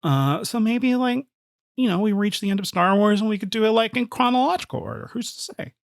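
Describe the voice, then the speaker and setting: Animated podcast co-host with a dry, close sound. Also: medium pitch, brisk, vocal fry, no echo. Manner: playful, emphatic. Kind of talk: casual fan podcast chat.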